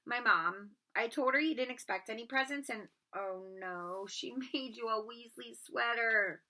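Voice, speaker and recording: A woman's voice: a little high, measured pace, bright, forceful tone. A woman voicing a casual, easily amazed schoolboy in a storybook, in a plain-spoken manner. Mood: casual wonder.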